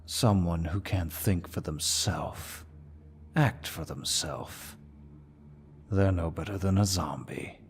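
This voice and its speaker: Man acting a very deep, gravelly demon lord. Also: a little hiss or hum. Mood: possessive, coaxing. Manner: dominant, smug, slow and deliberate. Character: menacing, taunting male demon lord. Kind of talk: ASMR fantasy roleplay acting.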